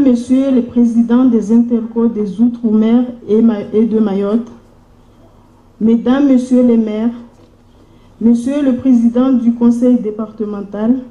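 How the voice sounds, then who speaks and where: A woman giving a formal welcome speech as mayor at a conference, in solemn, measured phrases. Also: a little high, mellow. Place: large room at a public conference. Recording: dull, echoey conference hall PA sound.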